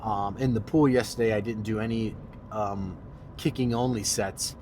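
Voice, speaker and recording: A man's voice: lowish voice, fairly even intonation, moderate pace, darkish tone, a little hiss or hum. A man with a congested, nasal voice, chatting in a joking, boastful way as a fitness vlogger while driving. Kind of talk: casual vlog while driving. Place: car.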